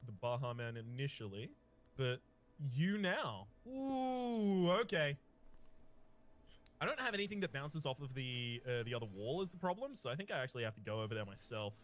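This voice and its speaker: A man's voice: medium pitch, big pitch swings, moderate pace. A man joining a gaming video as a guest, bantering in a playful, joking way. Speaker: guest gamer. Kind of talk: casual let's-play banter.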